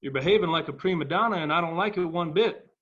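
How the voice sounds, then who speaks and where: A man's voice: fairly high pitch, fast, narrow, tinny audio, close to an everyday voice. A man playing a stern, scolding baseball club owner in a dramatic table read, speaking with reproachful emphasis. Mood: stern, irritated.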